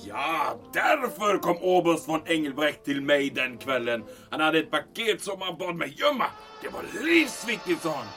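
An actor playing a nervous, obliging German army major in a period audio drama. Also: male voice, on the high side, big pitch swings, hardly any pauses, bright, forceful tone, soft background music, exaggerated voice. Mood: strained, defensive. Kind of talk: period radio drama acting.

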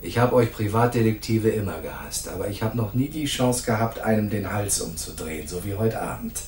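A hot-tempered bully of a police detective in a noir radio play, played by a male actor. He taunts and sneers in a fairly low voice, aggressive and contemptuous. The room around him echoes. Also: expressive, a little fast, slight background noise, close to an everyday voice. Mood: menacing, contemptuous. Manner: mocking, aggressive, swinging from soft taunts to barking. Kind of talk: noir radio drama dialogue.

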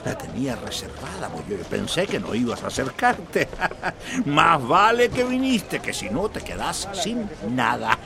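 An actor playing a jovial, grasping farmer who peddles water, in a radio soap opera. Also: male voice, fairly high pitch, wildly swooping pitch, a little slow, vocal fry, full character voice. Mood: chummy, sly. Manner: boisterous hawker with frequent hearty laughter. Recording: street bustle with crowd and vehicles.

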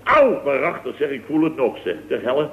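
A man's voice: medium pitch, very animated, a little fast, natural voice. A man acting a middle-aged, jovial, blustering boss in a radio comedy, bantering sarcastically with lots of exclamations. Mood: mocking. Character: blustering, jovial, mocking boss of a building firm. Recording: muffled old radio broadcast recording.

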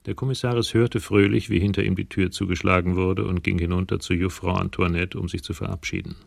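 Deep, gravelly male crime-story narrator. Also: fairly even intonation, fast talker, no room sound, studio quality. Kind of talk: scripted narration in a German radio crime drama.

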